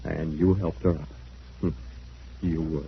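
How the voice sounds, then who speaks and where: Muffled old radio recording with some hiss. a sickly, worn-out prodigal, low-voiced and ingratiating, in vintage radio drama style. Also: male voice, fairly even intonation, a little slow, vocal fry, full character voice. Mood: wry, probing.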